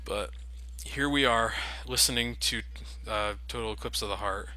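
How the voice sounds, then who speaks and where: A man's voice: on the low side, moderate pace, a crowd in the background, rough recording. A young man casually commenting over game cutscenes, joking and critical of the game as the host. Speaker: let's play host.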